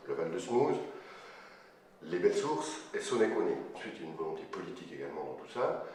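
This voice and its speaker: Deep male voice in a very echoey room. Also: sing-song, fast talker, darkish tone. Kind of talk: interview testimony, spontaneous. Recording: very echoey, slightly distant, faint noise.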